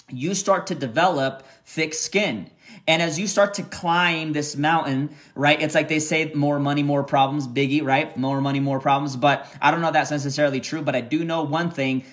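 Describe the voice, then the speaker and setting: Man giving a pep talk, higher voice. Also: a bit bright. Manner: repetitive, filler-heavy, keeps checking in with "right?" and "okay?". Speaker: company leader addressing team. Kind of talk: motivational talk to followers.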